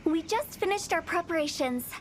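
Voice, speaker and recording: A woman's voice: very high-pitched, expressive, normal speed, a little hiss or hum. A woman voicing a playful, confident young female funeral parlor director in an anime game, speaking in a theatrical, self-assured way. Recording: background music under game dialogue. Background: game music.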